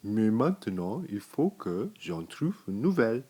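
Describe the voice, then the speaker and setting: A big, clumsy storybook bear played by a man reading aloud speaks in a low voice. He is earnest and makes his declarations emphatic and drawn out. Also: very animated, measured pace, dark tone, slightly rough, full character voice. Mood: matter-of-fact.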